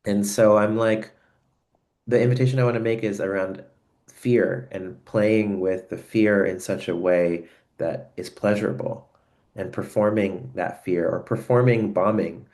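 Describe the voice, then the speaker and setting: A man with a very deep voice hosts a thoughtful conversation over a video call. His talk is earnest and searching, often pausing and restarting as he works toward what he means. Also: steady tone, darkish tone. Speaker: host. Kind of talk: reflective conversation.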